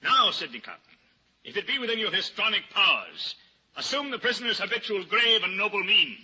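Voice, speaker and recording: High, piercing, bombastic barrister. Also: male voice, very animated, brisk, bright, forceful tone, breathy edge, exaggerated voice. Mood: triumphant, thundering. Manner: oratorical, declamatory, pompous. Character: bombastic, cunning male defence barrister. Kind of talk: vintage radio drama acting. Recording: dull, noisy old-time radio recording.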